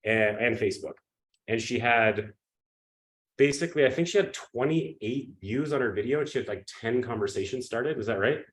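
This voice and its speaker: Sick man pitching a live webinar. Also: moderate pace. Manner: energetic pitch, often checks in with "okay?" and "right?". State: sick, sniffly and coughing. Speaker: webinar presenter.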